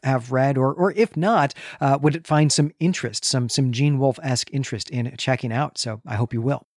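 A man co-hosting a podcast in casual chat, often halting and repeating words as he goes. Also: medium pitch, dry recording, studio quality. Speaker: podcast co-host.

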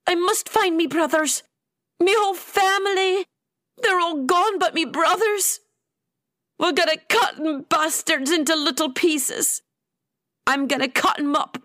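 A woman's voice: sits high, sing-song, a little slow, pitched above the performer's own voice. A woman voicing a grieving, fiery young-spirited pirate-town woman in an audiobook, with coarse, indignant dialect speech. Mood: furious, grieving.

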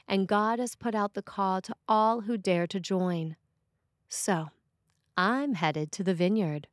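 A woman priest reading a written sermon for a podcast in an expressive way. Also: mid-range voice, dry recording, studio quality.

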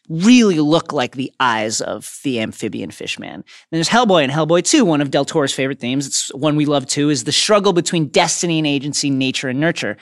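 A man's voice: medium pitch, dry recording, studio quality. A man co-hosting a podcast, explaining films in an enthusiastic way from partly prepared notes. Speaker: podcast co-host. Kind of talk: film discussion, partly prepared notes with quotes.

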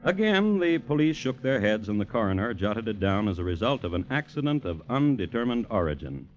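An American actor playing a droll, deadpan crime storyteller with deliberate, formal scripted 1950s radio narration. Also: male voice, lowish voice, soft background music. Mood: droll, macabre. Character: droll, macabre male crime storyteller, dryly formal and unhurried. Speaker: narrator and host. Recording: muffled vintage radio recording.

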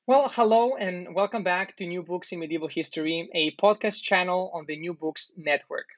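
A man's voice: high voice, moderate pace, a bit bright, no echo. A man hosting a podcast, introducing his guest with many ums. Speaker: podcast host. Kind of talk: interview introduction. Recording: dull dry lo-fi audio.

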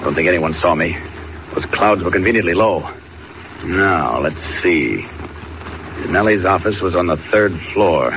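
A deep-voiced, resolute American superhero from a forties radio serial. He is commanding and deliberate, speaking his actions aloud. The old recording is muffled under heavy noise. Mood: hushed, watchful. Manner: commanding, deliberate, self-narrating. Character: stern, fearless male superhero, talking resolutely to himself and threatening crooks. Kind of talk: 1940s radio acting.

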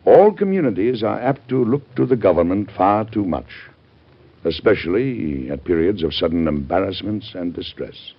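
A dull vintage radio recording of a burdened American president. The voice is very deep and husky. He speaks like a formal, devout statesman in oratory. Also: very animated, normal speed, full character voice. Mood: earnest, oratorical. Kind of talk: old-time radio drama acting.

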